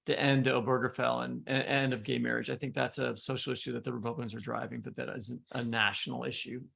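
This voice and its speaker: A man's voice: mid-range voice, little pitch movement, fast, creaky, fairly bright tone. A man hosting a podcast, talking politics casually and thinking aloud in an informal, hesitant way. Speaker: podcast host.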